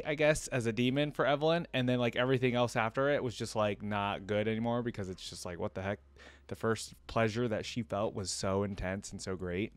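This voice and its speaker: A man's voice: lowish voice, dry recording. A young man hosting a reaction video in a casual, conversational way, commenting and reading aloud as he thinks things through. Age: young adult. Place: indoor home streaming setup.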